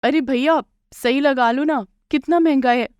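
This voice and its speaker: A woman's voice: high-pitched, unhurried, darkish tone, no room sound, studio quality, pitched above the performer's own voice. A woman voicing a sly, envious young daughter-in-law in a moral story, by turns sugary and scheming. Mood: haggling.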